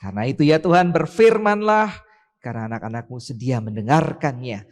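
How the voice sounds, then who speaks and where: A man's voice: medium pitch, expressive, a little fast. A man leading an online church service, praying solemnly and then reading scripture aloud, lifting his voice on key words. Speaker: pastor leading worship. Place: church sanctuary. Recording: church hall reverb.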